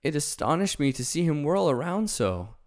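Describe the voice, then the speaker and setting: A man's voice: on the high side, big pitch swings, normal speed, voice pushed up. A man voicing a big cowardly male lion in a storybook reading, meek and ashamed. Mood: timid.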